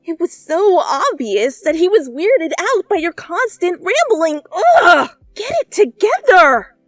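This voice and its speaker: A woman narrating a second-person fan fiction story in a playful, conversational way, with animated asides. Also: very high voice, a little slow, no room sound, studio quality, faint music behind. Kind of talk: expressive second-person story narration, ASMR fanfic reading.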